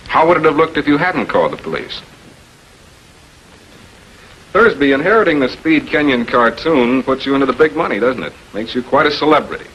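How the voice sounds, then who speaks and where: A shrewd American public prosecutor in a 1940s television crime drama. He has a medium-pitched voice and questions suspects methodically and with authority. The old film soundtrack carries faint noise. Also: sing-song, quick pace, few pauses, fairly bright tone, highs cut off. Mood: pressing, accusing. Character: shrewd, composed male public prosecutor confronting murder suspects.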